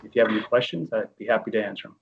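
A man's voice: fairly low pitch, racing, vocal fry. A man on staff presenting a project briefing at a board meeting, reading formally from a script over a video call. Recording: dull lo-fi video call audio.

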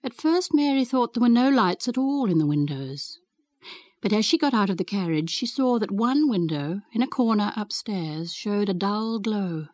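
A woman's voice: medium pitch, animated, normal speed, darkish tone, no room sound. A woman reading a classic novel as scripted storybook narration for radio. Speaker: reader.

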